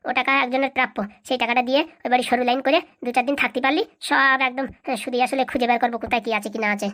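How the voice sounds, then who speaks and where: A crafty young village fixer from a comic cartoon, performed by a woman. The voice is high-pitched and extremely quick. He is sly and pushy, yet puts on great humility. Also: steady tone, a bit bright. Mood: scheming, persuasive. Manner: sly, insistent, mock-humble. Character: crafty, self-deprecating village schemer lad, a go-between.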